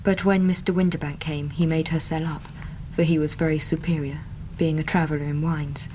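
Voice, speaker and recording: An English actress playing an earnest, talkative young Victorian typist in a radio drama, chatty and plain-spoken. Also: female voice, low voice, level tone, brisk, mellow, a little hiss or hum, natural voice, poor audio.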